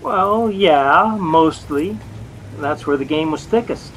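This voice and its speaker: An actor with an American accent playing a jealous, bumbling young husband, sulky, boastful and sheepishly stammering, in a 1940s radio comedy. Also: male voice, a little high, slow pace, fairly bright tone. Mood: sheepish, evasive. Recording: noisy old-time radio recording.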